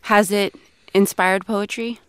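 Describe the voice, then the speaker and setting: A podcast host asking questions in an interview, curious and quick to laugh. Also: medium pitch, flat delivery, clean tone, no echo, studio quality. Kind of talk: interview conversation.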